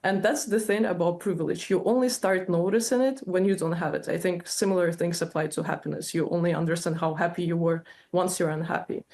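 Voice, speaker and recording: A woman's voice: on the low side, a little fast, few pauses, a little mellow. Earnest young woman. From Ukraine. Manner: earnest, explanatory. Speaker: interview guest.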